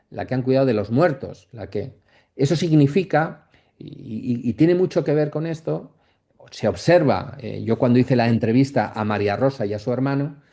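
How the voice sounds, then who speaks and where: Mellow-voiced man lecturing. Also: mid-range voice. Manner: reflective, halting, frequent check-in tags. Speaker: academic lecturer. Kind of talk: masterclass lecture, speaking off the cuff.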